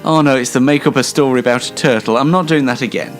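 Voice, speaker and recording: A man's voice: medium pitch, fast, soft background music. A man hosting an amateur radio show in a casual, rambling way, hesitant and wryly self-deprecating.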